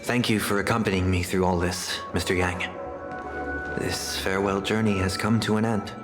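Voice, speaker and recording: An actor playing a refined, gravely courteous young idealist man in a video game, speaking in a formal, measured, earnest way. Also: sits low, fairly even intonation, over music, natural voice. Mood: solemn, measured. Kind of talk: dramatic video game dialogue.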